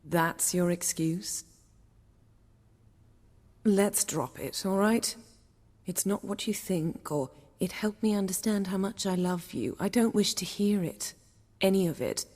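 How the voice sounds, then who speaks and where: An actress playing a proud, poised sorceress in a video game, clipped and archly teasing. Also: female voice, medium pitch, slow, long pauses, a little mellow. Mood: wounded, curt. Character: proud, cool sorceress with teasing, biting wit. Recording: long hall-like reverb.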